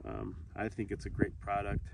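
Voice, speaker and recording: Low gravelly man in noisy outdoor recording. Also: completely flat delivery. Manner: halting, unscripted delivery. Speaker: video host, hunter reviewing gear. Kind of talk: casual product review to camera. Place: outdoors on an open grassy hillside. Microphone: camera a few metres away. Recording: noisy outdoor recording, distant camera mic.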